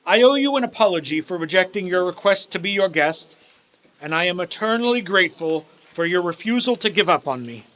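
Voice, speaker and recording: Man playing a high, bright-voiced old reformed miser. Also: lively intonation, fairly quick, low-quality recording. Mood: exuberant, earnest. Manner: theatrical, formal, emphatic. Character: elderly reformed miser uncle and boss, grandly merry. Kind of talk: radio play acting.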